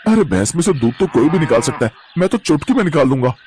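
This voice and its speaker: A man's voice: fairly high pitch, sing-song, quick pace, darkish tone, slight background noise. A man voicing a greedy, boastful, chubby city boy who complains brattily in a cartoon story.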